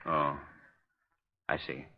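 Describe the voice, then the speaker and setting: An actor playing a suave, unflappable gentleman sleuth in a 1940s radio mystery, polite and dryly understated. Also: extremely low pitch, little pitch movement, very slow, full character voice. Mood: dry, wary. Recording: muffled vintage 1940s radio recording.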